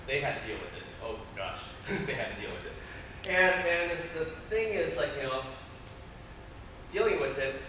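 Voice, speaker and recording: Young man from Taiwan, Chinese as first language, chatty self-mocking presenter, mid pitch, far mic in a boomy conference hall. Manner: joking, self-deprecating, many fillers. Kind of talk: casual conference talk. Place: large conference room. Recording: echoey hall from far off with noise.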